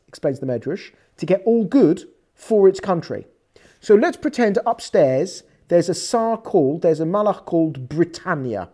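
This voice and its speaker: A woman with an English accent giving a lively, conversational religious lecture, acting out quoted lines as she explains. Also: lowish voice. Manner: animated, conversational teaching with quoted dialogue. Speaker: Torah teacher.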